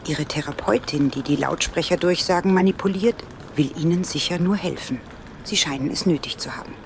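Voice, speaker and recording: A woman's voice: lowish voice, a little fast, slight background noise, natural voice. An actress playing a sardonic, prickly woman traveller in an audio drama, sarcastic and dismissive in manner. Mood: irritated. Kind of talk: German audio drama dialogue.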